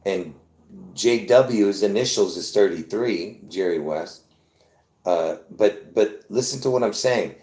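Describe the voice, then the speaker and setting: Low-voiced man from Lebanon, insistent rambling host, echoey room. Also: slow pace, warm tone. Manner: insistent, repetitive, with many restarts. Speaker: conspiracy video host. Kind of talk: rambling live monologue.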